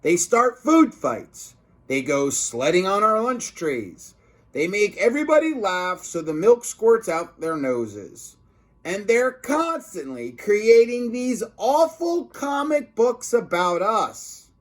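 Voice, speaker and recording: A man's voice: high-pitched, very animated, slow pace, pitched above the performer's own voice, heavily acted. A man voicing a fed-up school cafeteria lady from a children's storybook, complaining in an aggrieved, emphatic way. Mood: indignant complaining.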